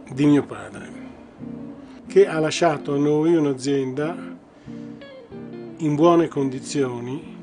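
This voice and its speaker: A man's voice: on the high side, darkish tone. An older man recollecting in a documentary interview. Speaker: textile company head. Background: plucked guitar music.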